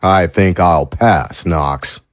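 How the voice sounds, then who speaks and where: An actor playing a cold, stern and smug arresting officer in an audio drama, terse and deadpan. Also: male voice, low-pitched, very slow, no room sound, clean studio sound. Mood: cool. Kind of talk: dramatic audio fiction acting.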